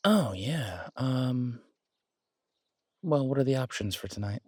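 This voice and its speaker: A man playing an affectionate, easygoing werewolf boyfriend who tinkers with bikes, speaking casually and haltingly in an intimate roleplay audio drama. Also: mid-range voice, animated, a little mellow, husky, dry recording. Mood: warm, teasing.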